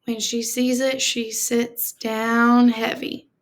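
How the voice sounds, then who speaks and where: A woman teacher reading a storybook aloud to children in an expressive, deliberate, explanatory manner. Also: fairly high pitch.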